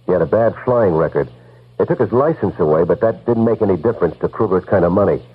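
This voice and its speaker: An actor playing a tough, loyal investigator in an old-time radio drama, blunt and slangy. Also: male voice, low-pitched, expressive, quick pace, husky. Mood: grim, matter-of-fact. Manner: blunt, slangy, world-weary. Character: tough, loyal male hard-boiled investigator. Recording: muffled old radio recording, faint music.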